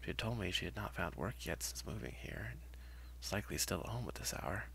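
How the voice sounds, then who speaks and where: Low, gravelly man reading horror. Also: big pitch swings, fast talker, fairly bright tone, a little hiss or hum. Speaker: narrator. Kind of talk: first-person horror story narration.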